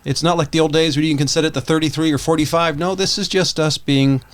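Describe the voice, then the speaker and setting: A man's voice: a little high, fairly even intonation, quick pace, slight background noise. Casual male podcast host.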